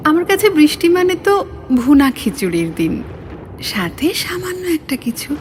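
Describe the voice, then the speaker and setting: A woman playing a poet's gentle, teasing beloved in a recited verse dialogue, with soft, coy phrasing. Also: high-pitched, big pitch swings, normal speed, a little hiss or hum. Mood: dreamy, coy. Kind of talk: dramatic Bengali poetry recitation as phone dialogue.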